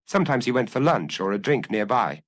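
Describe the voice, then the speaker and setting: A man's voice: mid-range voice, lively intonation, a little fast, no echo, studio quality. A man reading a detective story aloud as a scripted audiobook narration.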